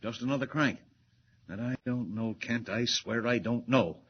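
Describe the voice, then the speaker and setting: An actor playing a brusque, worried middle-aged newspaper editor in a 1940s radio serial, barking orders. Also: male voice, medium pitch, moderate pace, slight background noise, lightly acted. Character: gruff harried male newspaper editor. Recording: dull lo-fi old radio broadcast.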